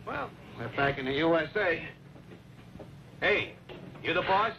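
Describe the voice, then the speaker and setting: Hot-tempered complainer, very animated pitch. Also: male voice, measured pace, creaky. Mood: fed up. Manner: complaining, confrontational. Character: disgruntled hot-tempered man being smuggled across the border. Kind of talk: old-time radio drama acting. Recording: muffled, noisy old radio recording.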